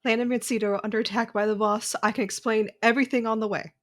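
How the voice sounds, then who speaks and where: A woman's voice: a little high, fairly even intonation, natural voice. An actress playing an urgent, eager young female fighter in an audio drama. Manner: urgent, hurried.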